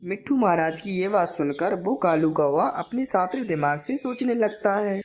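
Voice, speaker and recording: A woman's voice: on the low side, animated, moderate pace, a little mellow. A woman narrator reading a scripted children's moral story.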